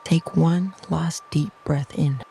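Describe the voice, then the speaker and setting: A meditation narrator speaking slowly and softly in a soothing, near-whispered delivery, reading a scripted guided visualization. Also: medium pitch, steady tone, slight background noise. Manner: slow, soft, soothing, breathy near-whisper phrasing.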